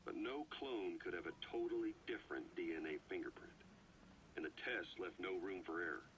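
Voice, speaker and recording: A grave, skeptical military second-in-command from a game, with a very low voice. His delivery is deliberate and serious, over faint tape noise. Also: fairly bright tone, rough recording. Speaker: video game character, military commander's aide. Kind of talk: scripted game dialogue, briefing on a cassette tape.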